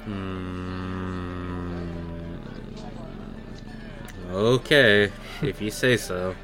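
A man voicing a huge, wary Goliath from the tundra in a tabletop game, terse and deadpan, with doubt in his words. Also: low-pitched, very slow, music in the background. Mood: suspicious.

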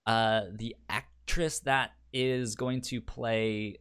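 A man's voice: mid-range voice, fairly even intonation, takes it slowly, fairly bright tone, clear, resonant tone. A man co-hosting a podcast in casual review chat, stuttering as he repeats sounds and words. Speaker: podcast co-host.

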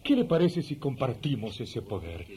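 A man's voice: medium pitch, sing-song, normal speed, warm tone, close to an everyday voice. A man acting in a radio drama as an eager, scheming magician, insistent and wheedling.

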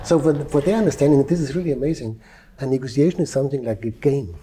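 A man from Europe, a former police negotiator, talking calmly and with measured pauses in an interview. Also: medium pitch, over a noisy crowd. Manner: calm, measured, with frequent restarts. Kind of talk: interview conversation. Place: Dubai.

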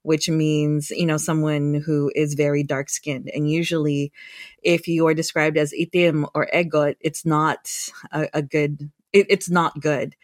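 A woman's voice: deep voice, little pitch movement, unhurried, no room sound, studio quality. A woman researcher who grew up in the United States, speaking thoughtfully in a conversational interview and often restarting her phrases. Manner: thoughtful, with frequent restarts and fillers. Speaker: guest researcher.

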